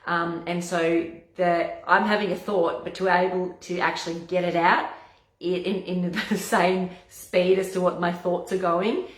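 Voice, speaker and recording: A woman naturopath with a hoarse, husky voice, chatting casually to camera and often stumbling over and restarting her words. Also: lowish voice, fairly even intonation, measured pace. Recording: echoey room.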